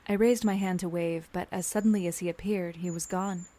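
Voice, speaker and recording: A woman's voice: on the low side, steady tone, brisk, dark tone, lightly acted. A woman playing a wounded, sardonic narrator who recalls her schoolgirl self in a confessional first-person audio drama. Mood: reflective, subdued.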